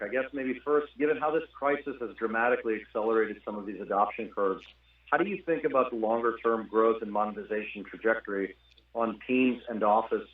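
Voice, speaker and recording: A man calling in as a financial analyst to ask a question on an earnings call. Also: lowish voice. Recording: tinny, echoey, distant phone line.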